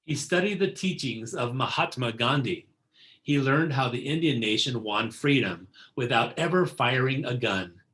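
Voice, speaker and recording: A man reads a picture book aloud for children in a medium-pitched voice. His delivery is deliberate and scripted, with key words given clear emphasis. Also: expressive, fairly bright tone. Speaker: read-aloud narrator.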